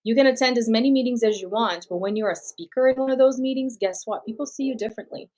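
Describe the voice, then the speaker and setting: A woman's voice: a little high, poor audio. A woman from Brazil whose first language is Portuguese, a guest speaker explaining confidently and laughing easily in an interview. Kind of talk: interview answers.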